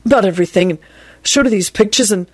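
A woman's voice: medium pitch, quick pace, slightly rough, no room sound, close to an everyday voice. Woman playing an awkward, nervous young man, stammering. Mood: smug. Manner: stammering, hesitant, apologetic.

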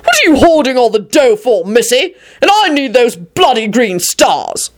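A woman's voice: fairly high pitch, big pitch swings, moderate pace, voice pushed up. A woman voicing a hot-tempered, competitive old father who shrieks and bellows in a story. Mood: blustering anger. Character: hot-tempered man, shrill cookie-obsessed competitive old dad.

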